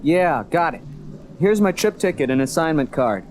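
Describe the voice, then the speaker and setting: A man's voice: fairly high pitch, animated, moderate pace, slight background noise, natural voice. A man playing an earnest, brave young space rebel in an audio drama, speaking directly. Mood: guarded. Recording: loud music behind the voice.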